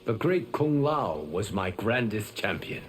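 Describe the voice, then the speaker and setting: A man's voice: fairly low pitch, sing-song, measured pace. An actor playing a composed, dignified male mentor in a video game. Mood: solemn. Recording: loud game noise behind.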